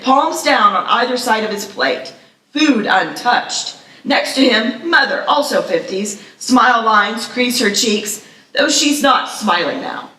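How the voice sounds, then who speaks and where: Female narrator for screenplay reading, crisp forceful tone, slightly distant in a roomy space. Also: on the high side. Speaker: stage-direction reader. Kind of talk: scripted narration at live table read. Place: live festival stage reading in echoey room. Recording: echoey room, slightly distant mic.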